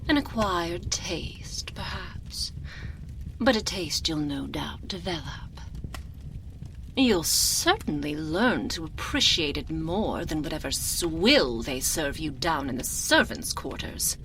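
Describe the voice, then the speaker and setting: A woman playing an imperious, seductive, sinister vampire countess in an ASMR roleplay, haughty and velvety, drawing out her words and sinking into breathy whispers. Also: deep voice, expressive, fairly bright tone, slight background noise, heavily acted, poor audio. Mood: condescending, possessive. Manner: haughty, velvety, drawn-out purring delivery with breathy whispered endings. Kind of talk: binaural ASMR roleplay, theatrical character acting. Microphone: close binaural microphone.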